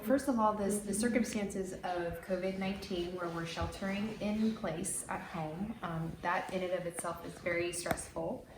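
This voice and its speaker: A woman answering interview questions thoughtfully, pausing often with ums. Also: lowish voice, reverberant, background noise. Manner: thoughtful, many ums and pauses.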